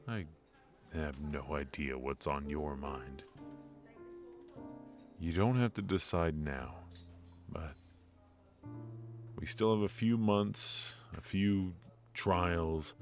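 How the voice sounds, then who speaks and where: A man acts out a ruthless mafia boss with a tender, wry side for an ASMR roleplay. His voice is extremely deep and the delivery is slow, halting and intimate. Music plays behind him. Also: full character voice.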